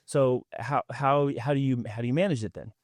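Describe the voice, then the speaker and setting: Male podcast host, playful and rambling, mid-pitched voice on a dry close mic. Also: fairly quick, no echo. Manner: joking, rambling with restarts. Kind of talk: conversational interview.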